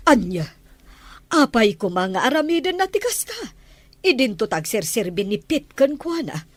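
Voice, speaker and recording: A woman's voice: on the high side, sing-song, moderate pace, no room sound. A woman with a Filipino accent playing a resolute household mistress on the run in a radio drama. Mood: worried, urgent.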